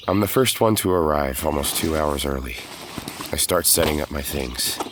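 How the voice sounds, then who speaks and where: A man's voice: rumbling low, background noise. A man giving scripted narration for an audio drama, telling the story in the present tense. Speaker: narrator and lead character. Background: music.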